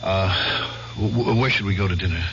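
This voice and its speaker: An actor playing an affectionate, excitable husband in a radio drama. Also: male voice, sits low, normal speed. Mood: uneasy, evasive. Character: affectionate, excitable husband, war veteran hiding unease. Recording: dull hissy old radio recording.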